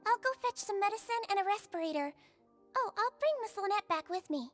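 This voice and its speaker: A woman voicing a small, sweet, earnest nurse in a video game, polite and clinical with a gentle scolding streak. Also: very high-pitched, lively intonation, a little fast. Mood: caring, polite. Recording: music under the voice.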